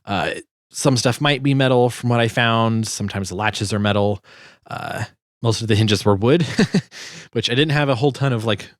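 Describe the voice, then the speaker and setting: A man giving a casual tutorial as a sound designer, informal and halting as he thinks aloud. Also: medium pitch, no room sound, clean studio sound. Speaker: sound designer and video host.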